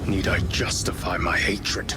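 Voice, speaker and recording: Grim, vengeful space marine warrior. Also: male voice, low voice, flat delivery, measured pace, loud music behind the voice. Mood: bitter defiance. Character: vengeful, fanatical armored space marine warrior, grimly defiant. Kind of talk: dramatic video game acting.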